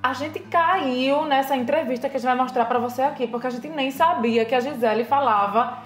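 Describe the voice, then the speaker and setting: A Brazilian woman with a Brazilian Portuguese accent, co-hosting a casual language lesson in a lively, explanatory way. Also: sits high, brisk. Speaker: French teacher, co-host.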